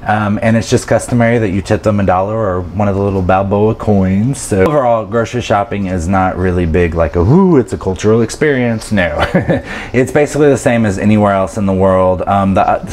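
Casual travel vlogger, man, thirties, lowish voice, chatty and animated, faint room hiss on a camera mic. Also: a little fast. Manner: chatty, animated, laughs often. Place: grocery store, then small apartment room indoors. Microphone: camera mic, handheld then fixed camera close up.

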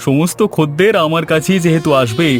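A man's voice: on the high side, fairly quick, close to an everyday voice. A man voicing a scheming, greedy eatery owner in a cartoon story, with a bossy, gloating manner. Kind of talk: Bengali cartoon story voice acting. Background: music.

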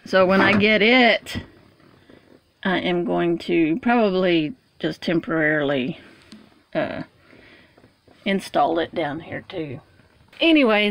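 An older woman giving a plain, unhurried show-and-tell of a project while filming with a handheld phone. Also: mid-range voice, creaky, a little hiss or hum. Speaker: vlogger. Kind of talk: casual walkthrough vlog. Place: inside a small truck camper, then on its roof outdoors.

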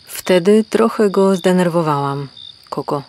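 Woman as a slow, naive young hen. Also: on the low side, darkish tone, slight background noise, close to an everyday voice. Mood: naive, lovesick. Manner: meek, simple, ends phrases with a cluck. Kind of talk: children's audio play acting.

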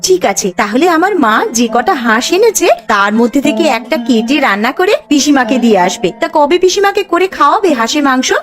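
Woman playing a high, animated cartoon housewife. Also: brisk, few pauses, over music. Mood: practical. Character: brisk, practical young housewife, teasing and caring. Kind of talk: Bengali cartoon voice acting.

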